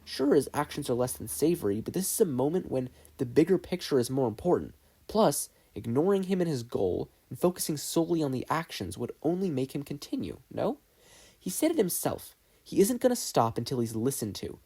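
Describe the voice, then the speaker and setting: A man's voice: a little high, sing-song, fairly quick, warm tone, slightly rough, heavily acted. A man voicing an earnest, idealistic teenage boy student in an audiobook, arguing emphatically. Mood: impassioned, persuasive. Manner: emphatic, argumentative.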